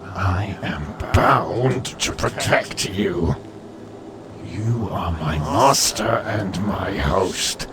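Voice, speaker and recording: A man voicing an ancient, proud and coaxing entity of immense power in an audiobook, speaking in a deliberate, grandiose way. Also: low-pitched, a loud crowd, voice pushed down. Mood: coaxing, solemn. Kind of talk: audiobook character voice.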